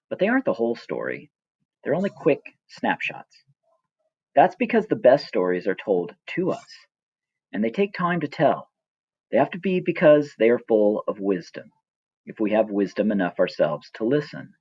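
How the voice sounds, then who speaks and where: A middle-aged man reading his own personal story as scripted narration, reflective, measured and gently wry. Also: on the low side, husky, no room sound, highs cut off.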